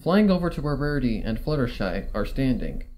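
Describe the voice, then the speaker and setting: A man's voice: mid-range voice, big pitch swings, fairly quick, a little mellow, rough recording. A man reading a scripted story as a dramatic narrator, acting out the dialogue as he goes. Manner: acts out character dialogue. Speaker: fan fiction narrator. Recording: music behind the voice. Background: music.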